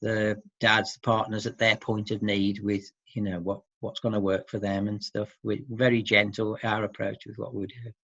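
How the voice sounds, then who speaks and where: Low-voiced man, muffled roomy interview. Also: level tone, normal speed, few pauses, fairly bright tone. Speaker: interviewee, support service worker for dads. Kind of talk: informal interview answers.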